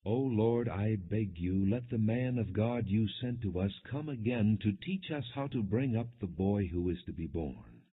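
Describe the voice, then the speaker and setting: A man's voice: on the low side, lively intonation, moderate pace, few pauses, slightly rough. A man voicing an earnest, devout, pleading husband in a scripture reading, on slightly muffled audio.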